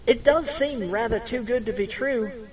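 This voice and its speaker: A man's voice: very high voice, unhurried, a crowd in the background. A man playing a simple, agreeable backwoods bandit in an audio drama, deferential, hesitant and plain-spoken. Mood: hopeful, doubtful.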